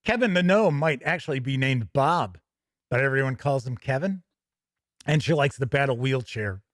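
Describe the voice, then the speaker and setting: A man's voice: mid-range voice, animated, moderate pace, fairly bright tone, dry recording, studio quality. A man hosting a tabletop gaming news show, mixing emphatic reading of copy with chatty, self-teasing asides. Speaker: host.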